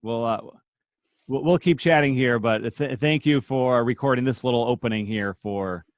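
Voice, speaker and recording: A man's voice: mid-range voice, normal speed, echoey room. American man hosting a casual interview. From United States. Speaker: podcast host.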